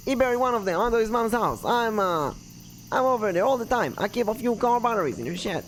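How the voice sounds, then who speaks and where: A man's voice: high-pitched, animated, fast talker, slight background noise, voice pushed up. A man voicing a folksy, easygoing local boater in a video game, rambling and colloquial. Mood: persuasive, rambling.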